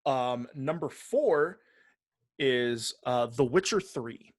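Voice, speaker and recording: A man's voice: medium pitch, lively intonation, slow pace, no echo, studio quality. A man co-hosting a podcast, chatting casually with some swearing as he runs through a ranked list. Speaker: podcast co-host. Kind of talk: casual chat, reading out a ranked list with commentary.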